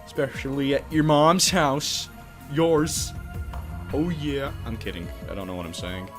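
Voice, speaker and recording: A man's voice: medium pitch, unhurried, over music. A man hosting a let's play, reading game text aloud and breaking into jokey, exclamatory asides. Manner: jokey, exclamatory, swings between reading and goofy outbursts. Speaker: host of a game playthrough series.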